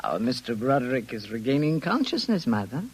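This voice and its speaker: An actor playing a Martian invader who poses as human, speaking in a formal, theatrical 1950s radio drama manner. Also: male voice, mid-range voice, big pitch swings, fairly quick, slightly rough. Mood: gloating menace. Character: smug, menacing male Martian invader posing as human.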